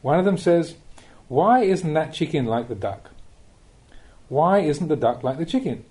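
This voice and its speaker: Mellow man reading teachings, lively intonation. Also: a little high, unhurried. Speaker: narrator reading Buddhist teachings. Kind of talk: audiobook reading of a spiritual talk.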